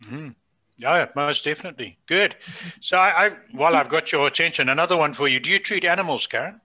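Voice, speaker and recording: Bright-voiced African-accented man. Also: fairly high pitch, a little fast. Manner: friendly, affirming interviewer. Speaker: podcast co-host. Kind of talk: casual podcast interview.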